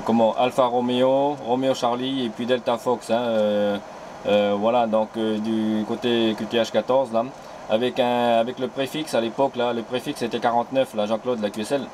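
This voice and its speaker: Radio operator from New Caledonia, man, even-paced, light background hiss. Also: mid-range voice, moderate pace. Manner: relaxed, fills talk with "là". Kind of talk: CB radio contact.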